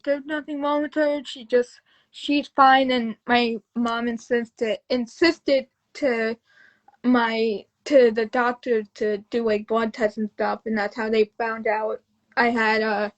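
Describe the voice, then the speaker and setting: A woman in her twenties, a podcast guest telling her own story casually, often halting and repeating phrases. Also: on the high side, poor audio. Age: 20s.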